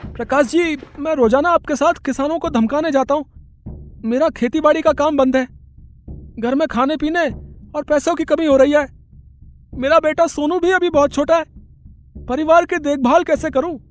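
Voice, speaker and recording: Man playing an anxious high-pitched farmer. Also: fairly even intonation, moderate pace, long pauses, a little hiss or hum. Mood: pleading. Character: anxious poor farmer, younger brother and father. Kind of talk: Hindi moral story voice acting.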